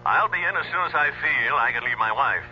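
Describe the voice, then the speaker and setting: An actor playing an apologetic senior doctor in a radio drama, hesitant and measured. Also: male voice, medium pitch, expressive, pushed and bright, breathy edge, full character voice. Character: senior male doctor, apologetic, reserved boss. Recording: muffled radio recording, faint music.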